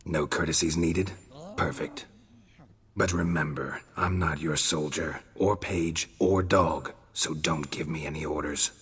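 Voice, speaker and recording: A gruff, weary monster hunter from a fantasy video game. His voice is very low and gravelly, with terse, sardonic delivery, ringing in a long hall reverb. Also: steady tone, measured pace, a bit bright, full character voice. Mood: dry defiance.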